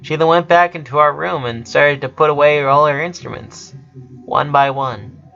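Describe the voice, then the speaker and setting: A man voicing an anxious, shaken older sister in a storybook, halting and fretful. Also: on the high side, gravelly, a bit bright, highs cut off.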